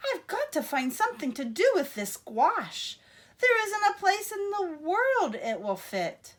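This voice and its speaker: A woman voicing a flustered, excitable woman gardener from a picture book, exclaiming dramatically. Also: very high voice, unhurried, voice pushed up, heavily acted. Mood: worried.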